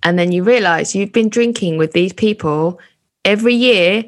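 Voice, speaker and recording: A woman's voice: mid-range voice, moderate pace. A woman, a comedy performer, chatting and asking questions in a conversational interview with self-mocking asides.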